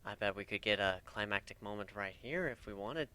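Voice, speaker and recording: Man voicing a wry, world-weary pony warden, bright voice. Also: lowish voice, fairly quick, smooth, no room sound. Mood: teasing. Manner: blunt, sarcastic.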